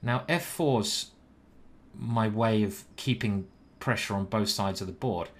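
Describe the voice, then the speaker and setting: A man's voice: fairly low pitch, slow pace. A young British man streaming live, chatting casually and thinking aloud about his chess moves.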